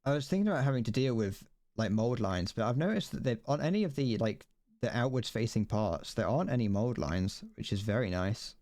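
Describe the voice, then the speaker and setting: A man's voice: medium pitch, expressive, brisk, darkish tone, dry recording, studio quality. A young man streaming as he builds a model kit, chatting casually, rambling, correcting himself and stumbling over his words.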